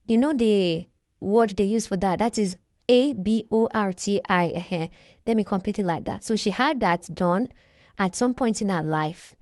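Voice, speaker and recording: A woman's voice: medium pitch, moderate pace, a little mellow, no echo, studio quality. A woman chatting casually as she recaps a reality show, hesitant and often restarting her sentences. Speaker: reaction vlogger.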